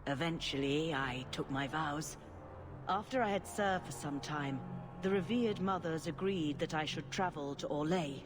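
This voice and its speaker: A woman playing a patient, elderly high priestess in a video game, speaking in a measured, gentle and solemn way. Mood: gentle, reminiscing. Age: older. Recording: clear ambient noise behind voice.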